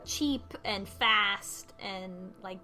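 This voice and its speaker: A woman's voice: medium pitch, drawn-out words. A woman co-hosting a podcast, casually recapping a game and voicing characters' lines in passing. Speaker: podcast co-host. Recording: music playing behind the talk.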